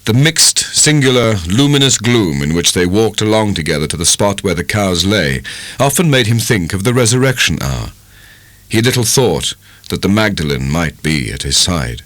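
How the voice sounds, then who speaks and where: A British man narrates a classic novel in a deep voice with animated, expressive intonation. His delivery is dramatic yet measured, and the recording is close and dry. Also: dry recording. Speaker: audiobook narrator. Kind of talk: dramatic scripted literary narration.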